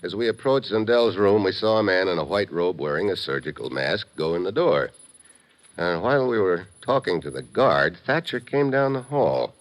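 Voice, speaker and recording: An actor playing a tough, wry American secret agent, hard-boiled and slangy, in 1950s radio drama style. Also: male voice, low voice. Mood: matter-of-fact.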